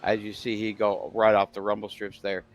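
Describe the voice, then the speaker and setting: A man as color commentator on a virtual race, reacting with short exclamations and slow remarks about car damage. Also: on the low side, completely flat delivery, lo-fi sound. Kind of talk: sim racing commentary.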